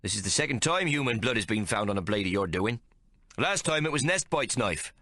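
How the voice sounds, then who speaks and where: A man's voice: mid-range voice, lively intonation, fairly quick, pushed and bright, no room sound, voice pushed up. Menacing ship's officer, as a man puts him on. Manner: menacing, accusing. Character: menacing male officer Rawlings, cold accuser.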